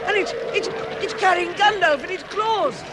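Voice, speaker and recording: A very high-voiced, nervous hobbit stammers through an old radio adventure. He sounds small and flustered amid heavy noise. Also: normal speed, over a noisy crowd. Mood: alarmed. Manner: stammering, anxious. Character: timid, flustered little male hobbit. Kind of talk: vintage radio fantasy drama. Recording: very noisy, busy vintage radio recording.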